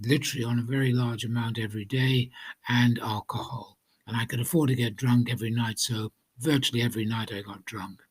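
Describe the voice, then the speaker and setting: A man from Wales recounts his past in a husky, breathy voice of middle pitch. He talks hesitantly, stopping on fillers and restarting his sentences. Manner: hesitant, many ums and restarts. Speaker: guest telling his recovery story. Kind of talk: personal testimony.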